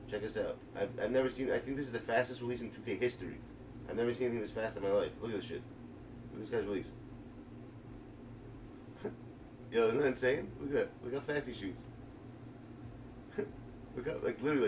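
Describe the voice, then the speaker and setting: New streamer, distant and noisy. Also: male voice, medium pitch. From New York. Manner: excited, slangy, rambling. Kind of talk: casual gaming livestream commentary. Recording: distant mic with clear background noise.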